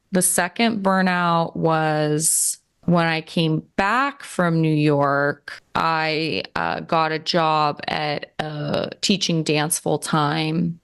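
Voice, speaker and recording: A woman's voice: sits low, vocal fry, a bit bright, smooth. A young adult woman co-hosting a podcast, talking in an earnest, reflective way with many fillers. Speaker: podcast co-host. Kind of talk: casual chat.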